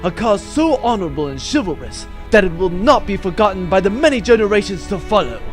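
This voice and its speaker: A man's voice: high voice, sing-song, brisk. Man playing a pompous heroic space captain over music. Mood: grandly rousing. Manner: grandiose speechifying that drifts into rambling. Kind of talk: comedic sci-fi audio drama acting.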